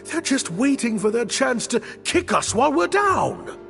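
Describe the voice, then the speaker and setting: An actor playing a theatrical, high-strung troupe director in a video game, with grandiose, emphatic delivery. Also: male voice, big pitch swings, a little slow, vocal fry, fairly bright tone, heavily acted. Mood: alarmed, indignant. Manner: grandiose, emphatic, florid phrasing. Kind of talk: dramatic game voice acting. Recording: reverberant studio voice with music. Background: background music.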